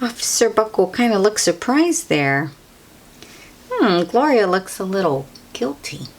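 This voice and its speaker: A woman's voice: medium pitch, big pitch swings, a little slow. A woman reading a children's picture book aloud, with exclamations and chatty asides to young listeners. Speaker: storybook read-aloud host.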